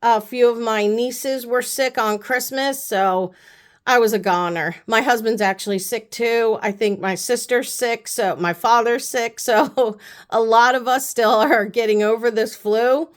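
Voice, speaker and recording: A young woman talks through a beauty haul in a loose, rambling way, full of "like" and "um". She is still sick with the flu, and her voice sits a little high. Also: fairly even intonation, a little slow. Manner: chatty, rambling, lots of fillers. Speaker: beauty vlogger. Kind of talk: casual haul chat.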